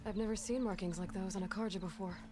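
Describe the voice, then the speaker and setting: An actress playing a plainspoken young warrior in a video game, terse, direct and understated. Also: female voice, medium pitch, steady tone, fast talker, close to an everyday voice. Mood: curious, dry. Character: plainspoken young female wandering warrior, guarded and dry.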